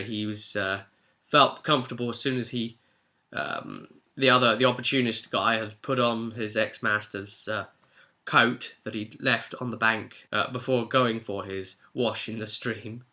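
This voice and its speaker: A man's voice: mid-range voice, bright, forceful tone. A man speaking as game master, giving a chatty, rambling, explanatory recap of a tabletop role-play session.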